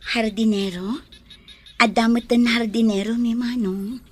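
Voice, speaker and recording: A woman with an Ilocano accent acting a gentle, ailing lady of the house in a radio drama. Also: a little high, steady tone, unhurried. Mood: questioning.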